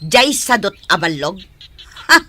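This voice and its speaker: A woman's voice: medium pitch, big pitch swings, a little slow, bright, forceful tone, full character voice. A woman with a Filipino Ilocano accent playing an insistent, scolding middle-aged mother in a radio drama. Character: domineering mother pushing her daughter to marry.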